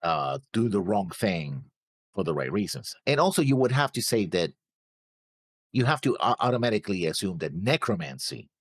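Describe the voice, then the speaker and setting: Deep voiced dude co-hosting a reaction chat, gravelly, really up n down delivery, stops and rewords as he argues. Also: very animated, normal speed, no echo, clean studio sound. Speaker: co-host of an anime reaction show. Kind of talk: casual discussion.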